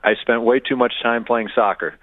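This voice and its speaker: A man in his fifties from Connecticut, a guest author on a radio interview, talking haltingly with many fillers and restarts over a phone line. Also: medium pitch, steady tone, dry recording.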